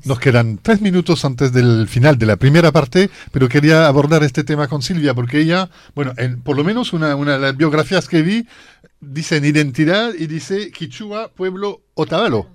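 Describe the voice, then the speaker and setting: A man hosting a talk programme, guiding the guests with brisk questions. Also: fairly high pitch, expressive, no room sound, clean studio sound. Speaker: radio host.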